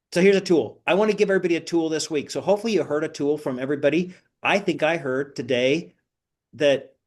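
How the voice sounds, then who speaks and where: An older man leading an online group session informally, rambling a little and putting rhetorical questions to the group. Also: medium pitch. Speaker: host of an online career coaching group meeting. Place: online video call.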